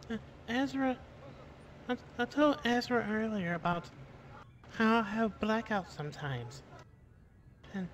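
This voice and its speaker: Casting: woman as timid, hesitant young-sounding survivor for zombie game roleplay, very lively pitch, slight background noise. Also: unhurried, pauses often. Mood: hesitant, confused. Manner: stammering, meek, hesitant. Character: James, timid, childlike, apologetic zombie survivor. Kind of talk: improvised online game roleplay.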